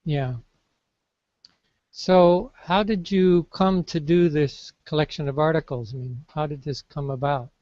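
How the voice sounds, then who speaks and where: A man interviewing a colleague, a fellow facilitator, in a relaxed and wry way. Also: on the high side, unhurried, dry recording, muffled recording. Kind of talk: casual interview chat.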